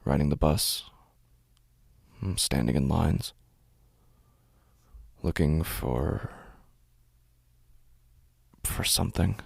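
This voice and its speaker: An actor playing a weary, haunted walking-dead man in a horror audio drama, brooding and numb, with halting, deliberate phrasing. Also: very deep voice, gravelly, a little mellow, husky, dry recording, studio quality, heavily acted. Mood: brooding, resigned.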